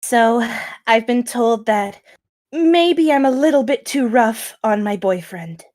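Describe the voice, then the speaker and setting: A woman playing a jealous, overbearing girlfriend in an online apology skit, halting and earnest as she justifies herself. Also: a little high, animated, a little mellow. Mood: defensive, halting. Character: jealous, overbearing young girlfriend making a tearful-sounding apology.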